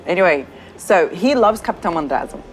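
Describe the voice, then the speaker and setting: A woman's voice: on the low side, expressive, fast, poor audio. A woman with a Brazilian accent, a product manager cheerfully reading viewer questions to a colleague in a casual chat, laughing often. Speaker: product manager hosting a community Q&A.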